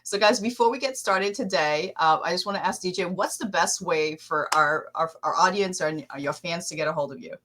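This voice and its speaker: A woman with a slightly low voice hosting a podcast. She is chatty and upbeat as she welcomes and prompts her guest. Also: a little fast, few pauses, a bit bright. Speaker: podcast host. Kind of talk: casual interview hosting.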